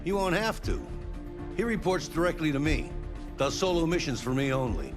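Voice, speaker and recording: A man's voice: fairly high pitch, lively intonation, normal speed, lightly acted. Game boss, male, acted: formal briefing manner, authoritative, raised register, music very prominent. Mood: businesslike. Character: brisk, authoritative male military contractor boss. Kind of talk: video game cutscene acting. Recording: very loud music behind.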